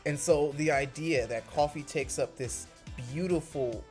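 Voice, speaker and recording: A young man from Cleveland, a university freshman, earnestly reflecting on a class project with bursts of quick speech. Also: fairly high pitch, darkish tone, faint music behind. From Cleveland, Ohio, United States. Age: young adult. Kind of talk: reflective video monologue.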